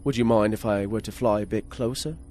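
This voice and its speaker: An actor playing a polite, formal shipboard artificial intelligence with a gleeful murderous streak, in a 1950s-style space radio serial. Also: male voice, on the low side, brisk, darkish tone, close to an everyday voice. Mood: courtly, flirtatious. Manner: formal, courteous, precise wording. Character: polite, formal male ship's computer with murderous glee.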